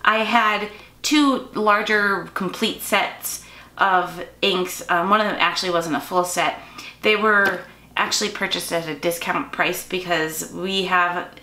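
A woman's voice: lowish voice, unhurried. A woman in her forties hosting a craft channel, chatting casually as she shows what is in her drawers and correcting herself as she goes. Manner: chatty, self-correcting, relaxed. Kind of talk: casual show-and-tell narration. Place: home craft room, indoors. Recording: bright echoey room with faint noise.

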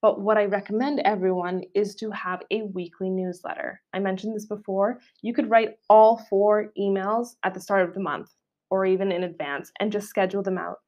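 A woman's voice: medium pitch, steady tone, normal speed, darkish tone, dull audio. A young woman with a Canadian accent, hosting a solo podcast in a casual, chatty way with frequent fillers. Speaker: podcast host.

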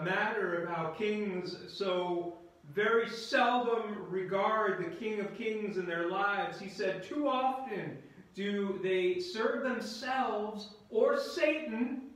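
A pastor, a man in his fifties, preaching a sermon in a halting, deliberate way with repeated words and pauses, inside a church. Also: high voice, a bit bright. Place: indoors in a church at the front by a screen. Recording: very echoey church room.

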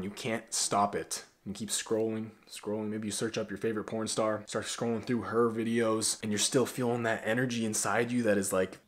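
A man in his twenties giving blunt, profane self-improvement advice straight to camera in a small bedroom, often swinging from loud to quiet. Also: mid-range voice. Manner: blunt, profane, animated with big swings in loudness. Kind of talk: direct-to-camera advice vlog.

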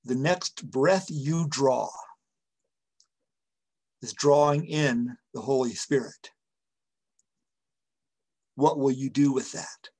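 Slow-paced older male pastor preaching. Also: mid-range voice, darkish tone, slightly rough. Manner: deliberate, pausing between short phrases. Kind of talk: sermon, reflective preaching. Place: small room at home, indoors. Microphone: computer webcam or video call microphone.

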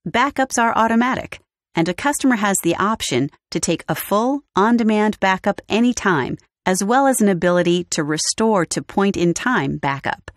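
A woman narrating a scripted step-by-step software walkthrough in a clear, even instructional manner. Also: medium pitch, normal speed, no room sound, clean studio sound. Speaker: tutorial narrator. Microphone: studio voice-over.

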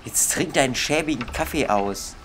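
A man's voice: mid-range voice, lively intonation, normal speed, fairly bright tone, slight background noise. A young man giving casual gaming commentary in a grumbling, comically exasperated manner. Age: young adult. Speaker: let's player.

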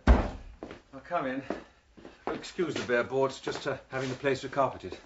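An actor playing a testy, sardonic military gentleman in a radio drama, well-mannered with a sarcastic edge. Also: medium pitch, moderate pace, dull audio, noisy background, lightly acted. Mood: stiffly apologetic.

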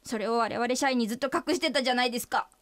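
A woman's voice: on the high side, rapid-fire, fairly bright tone, no room sound, natural voice. An actress playing an earnest, forthright female office employee pressing her boss in a comic radio drama. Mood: accusing, insistent.

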